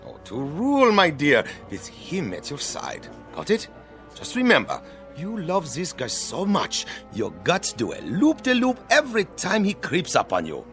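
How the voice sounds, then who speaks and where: An actor playing an excitable, gushing film director in a radio drama, theatrical, coaxing and bustling. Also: male voice, fairly high pitch, unhurried, faint music behind, full character voice.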